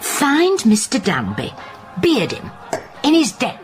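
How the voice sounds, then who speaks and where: A woman's voice: a little high, slow pace, faint music behind, exaggerated voice. A woman acting a nosy, gossipy guesthouse landlady who narrates her own story in a radio drama. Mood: scheming. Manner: lively, gossipy, laughs easily. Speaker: narrator and lead character.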